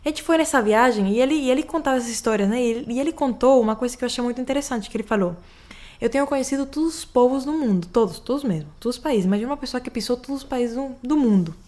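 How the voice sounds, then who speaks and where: A woman's voice: fairly high pitch, rapid-fire, mellow. A Venezuelan woman, a native Spanish speaker, talking about her life in a radio interview with a strong Spanish accent, often restarting her sentences. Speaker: singer-songwriter guest. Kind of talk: radio interview, casual chat.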